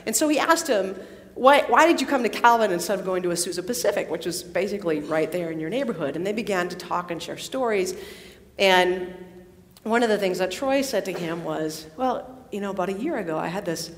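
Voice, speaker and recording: A woman's voice: lowish voice, animated. Woman speaker in a reverberant hall. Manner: warm storytelling with pauses and fillers. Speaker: campus pastor. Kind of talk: worship service address. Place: large worship hall. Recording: long reverb in a large hall.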